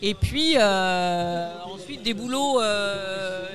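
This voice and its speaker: A woman's voice: medium pitch, expressive, extremely slow, over music. A woman language teacher answering radio interview questions at an associations fair, composed and agreeable.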